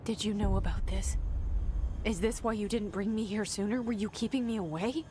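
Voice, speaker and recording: An actress playing a guarded, haunted young woman who has just become director, talking quietly and often whispering her inner thoughts in a game cutscene. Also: mid-range voice, moderate pace, slight background noise, close to an everyday voice. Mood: tense. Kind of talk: video game cutscene acting.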